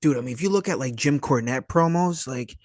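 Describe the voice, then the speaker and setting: A man's voice: medium pitch, fairly quick, darkish tone, dry recording, clean studio sound. A man chatting casually as a podcast guest, enthusiastic and slangy, hesitating through lots of likes and dudes. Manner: enthusiastic, slangy, swearing, hesitant phrasing full of 'like' and 'dude'. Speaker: podcast guest, independent filmmaker. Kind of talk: casual chat.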